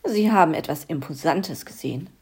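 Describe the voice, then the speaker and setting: A woman's voice: low voice, lively intonation, brisk, a little mellow, slightly rough, heavily acted. A woman voicing a vain, haughty stag in a spoken fairy tale, talking pompously and disdainfully.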